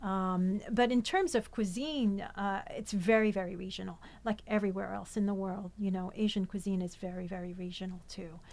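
A woman's voice: mid-range voice, steady tone, normal speed, hardly any pauses, darkish tone. A woman born in France, a cookbook author, chatting as an interview guest, talking with many fillers and restarts. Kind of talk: casual interview chat.

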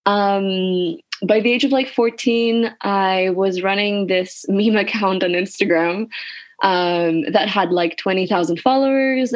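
Woman, twenties, from Greece, interview guest, casual, filler-heavy. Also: mid-range voice. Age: 20s. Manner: chatty, lots of "like" and "um" fillers. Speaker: guest, agency founder.

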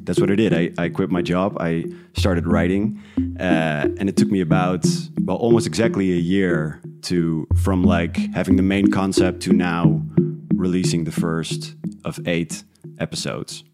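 Very deep-voiced man being interviewed. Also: steady tone, unhurried, a little mellow, soft background music. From Netherlands. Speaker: interview guest, audio drama creator. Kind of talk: casual interview talk.

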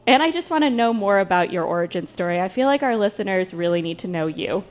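A woman's voice: medium pitch, lively intonation, quick pace. Woman podcast host, upbeat and encouraging, lots of affirming murmurs. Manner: enthusiastic, encouraging, frequent backchannels. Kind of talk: podcast interview.

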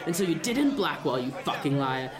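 An actor playing a hostile, distrustful sailor in a radio drama, with a belligerent, accusing manner. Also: male voice, a little high, big pitch swings, brisk. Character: hostile, foul-mouthed, distrustful male sailor. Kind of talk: serial radio drama acting. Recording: crowd noise behind.

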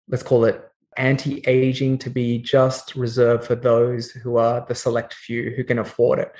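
Australian male guest, mid-pitched, measured and explanatory, podcast interview. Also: darkish tone. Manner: measured, explanatory, with frequent fillers. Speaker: guest, company CEO.

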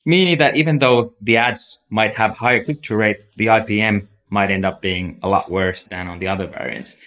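Finnish man with a lowish voice. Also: normal speed, a bit bright. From Finland. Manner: explanatory, many fillers like "like" and "so". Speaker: agency CEO, guest. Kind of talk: podcast interview.

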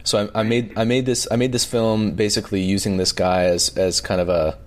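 A man from Alberta with a Canadian accent, talking reflectively as an interview guest, halting as he searches for words. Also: on the low side, level tone. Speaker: documentary filmmaker, interview guest.

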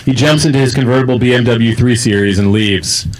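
A man's voice: medium pitch, brisk, low-quality recording. A man reading comedy stage directions aloud in a dry, matter-of-fact way as narrator and host.

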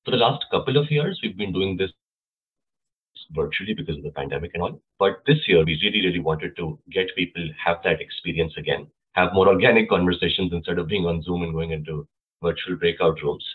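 A man explains things in a relaxed way as a podcast guest. His voice is very low and husky. It comes through a tinny, phone-like line. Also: a little fast. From India. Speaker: guest, club co-president. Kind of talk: interview answers.

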